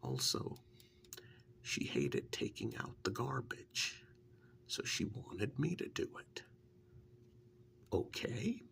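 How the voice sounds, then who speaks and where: Soft spoken asmr guy playing a witch's loyal helper, half whispering, super gravelly and slow, matter of fact storytelling. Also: on the low side, huge pitch swings, full character voice. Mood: calm, fond reminiscing. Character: male witch's assistant, plain-spoken, loyal, fond handyman.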